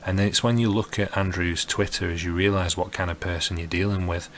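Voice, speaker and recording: A man's voice: deep voice, steady tone, brisk, a bit bright, slight background noise. A man giving opinionated commentary as an online video commentator, in an investigative style.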